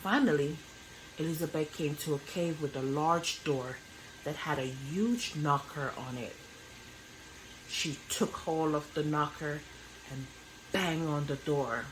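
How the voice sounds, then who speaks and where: A woman reading a children's bedtime story aloud as an expressive narrator. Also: low-pitched, slow pace, a little hiss or hum, low-quality recording.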